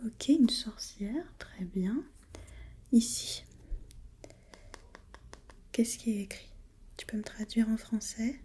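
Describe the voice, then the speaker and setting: A woman whispering breathily in an ASMR role-play as an examiner, gently asking step-by-step questions and giving short encouragements. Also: on the high side, slow, long pauses, breathy edge.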